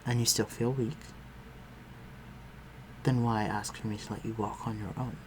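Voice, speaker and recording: A man's voice: lowish voice, fairly even intonation, normal speed, close to an everyday voice. A man playing a protective, courtly vampire lord in an audio roleplay, speaking formally and tenderly to his human listener. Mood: calm, caring. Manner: formal, old-fashioned, tender and reassuring. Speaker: voice actor. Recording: clearly noisy, ambient sound behind voice.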